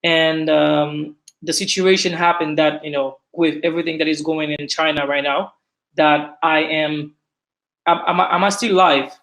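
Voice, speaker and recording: Haitian guy in his 30s, talks kinda high, chatty, keeps restarting words. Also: fairly even intonation, moderate pace, a bit bright. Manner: chatty, repeats words when starting a thought. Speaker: guest. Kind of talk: casual video-call interview.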